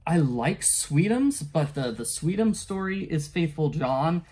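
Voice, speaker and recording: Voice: mid-range voice, lively intonation, unhurried, a little mellow, a little hiss or hum. Hesitant, rambling casual book reviewer. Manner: rambling and hesitant, often trailing off and chuckling.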